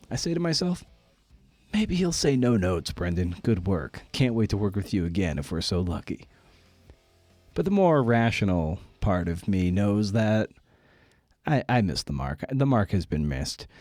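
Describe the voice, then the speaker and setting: Low-voiced, self-deprecating man hosting a podcast. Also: darkish tone, no room sound. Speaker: podcast host and writer. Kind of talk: casual, candid podcast monologue and interview.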